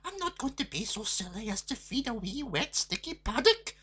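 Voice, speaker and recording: Woman doing a very high, anxious young girl. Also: big pitch swings, fairly bright tone, full character voice. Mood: cross. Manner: very high, quick and pleading. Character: young daughter, pretty lassie, sulky and frightened. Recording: faint guitar music behind.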